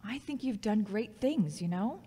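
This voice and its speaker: A woman's voice: mid-range voice, lively intonation, measured pace, warm tone, slightly rough. An actress playing a frank, logical, critical yet caring former girlfriend in a scripted audio drama. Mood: encouraging. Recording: long hall-like reverb.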